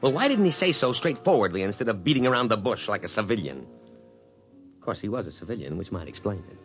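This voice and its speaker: An actor playing a stiff, by-the-book army officer who narrates a 1950s radio drama in an official manner. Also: male voice, fairly low pitch, sing-song, fast, soft background music. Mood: dry, self-satisfied.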